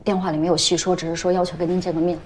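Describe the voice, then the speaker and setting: A woman's voice: lowish voice, level tone, rapid-fire, natural voice. An actress playing a poised businesswoman who speaks in a cool, businesslike way.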